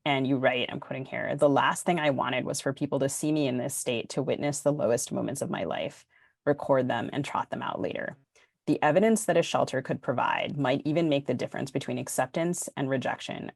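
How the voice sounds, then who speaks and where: A woman's voice: very deep voice, brisk, gravelly, no echo, clean studio sound. A woman hosting a literary podcast, putting considered questions to a guest and quoting from a book. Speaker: podcast host.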